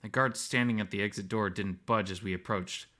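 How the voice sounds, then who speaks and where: A man's voice: fairly low pitch, steady tone, a little fast, bright, forceful tone. A man reading scripted first-person story narration as the narrator.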